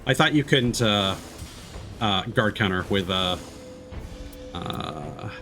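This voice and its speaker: A man with a fairly low voice commentates casually on a video game. He thinks aloud as he goes, rambling and hesitating, with clear music playing behind him. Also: lively intonation, slow pace.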